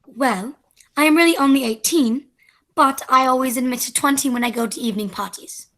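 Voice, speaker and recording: A woman's voice: high-pitched, a little fast, a bit bright. A woman playing a sweet, candid, slightly impatient eighteen-year-old girl in an amateur radio play, artless and prim.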